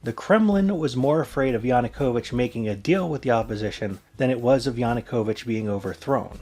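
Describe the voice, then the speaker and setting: A man's voice: medium pitch, fairly quick, darkish tone, soft background music. A man presenting an explainer in scripted narration.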